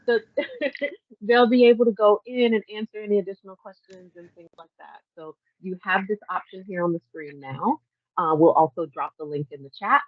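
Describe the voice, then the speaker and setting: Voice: mid-range voice, moderate pace, no room sound. A webinar co-host explaining logistics in an upbeat, chatty way over a video call. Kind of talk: hosting a webinar. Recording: dull, dry video-call audio.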